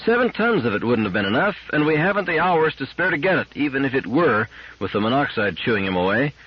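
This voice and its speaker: A man voicing an exasperated, urgent male space engineer in an audiobook, with a husky, emphatic delivery. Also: medium pitch, very animated, fast talker, lo-fi sound, pitched above the performer's own voice, lightly acted. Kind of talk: audiobook character dialogue.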